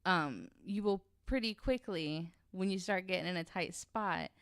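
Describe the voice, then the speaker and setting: A woman's voice: on the low side, unhurried, fairly bright tone, clear, resonant tone, dry recording, clean studio sound. A woman chatting casually as a podcast panelist, blunt and sweary, rambling a little and poking fun at herself.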